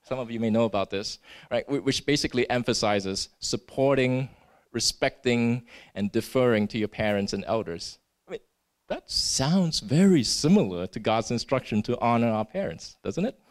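Male preacher from Singapore, mid-pitched, earnest, checks in with "right?" and stumbles over restarts. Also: lively intonation, normal speed. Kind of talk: sermon.

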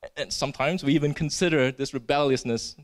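Male preacher from Singapore, mid-pitched, earnest, checks in with "right?" and stumbles over restarts. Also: fast talker. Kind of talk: sermon.

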